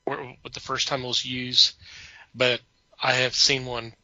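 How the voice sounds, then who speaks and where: A man chatting casually about films as a podcast co-host, in a halting way that repeats words and restarts sentences. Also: medium pitch, little pitch movement, sharp tone, no room sound, muffled recording. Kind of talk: casual film chat.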